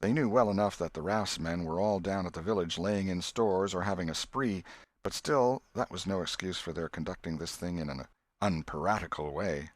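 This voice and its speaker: Male audiobook narrator, deep register, measured and expressive, close dry sound. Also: no room sound. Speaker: audiobook reader. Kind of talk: scripted narration of a classic novel.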